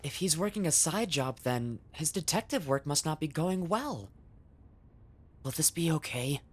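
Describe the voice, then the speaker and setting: A woman's voice: sits low, unhurried, breathy edge, exaggerated voice. A woman playing an earnest, easily flustered young rookie detective in an anime dub, blurting out thoughts. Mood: uneasy, musing. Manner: excitable, thinks out loud, blurts things.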